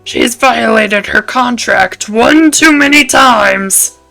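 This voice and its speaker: A woman acts out a gruff, cranky landlord from a visual novel game. His voice is heavily gravelly and hard-edged, and he talks slowly and sternly, like a blunt man laying down rules, with music behind him. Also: pushed and bright, full character voice, poor audio. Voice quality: gravelly, creaky put-on low voice. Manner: slow, stern, scolding.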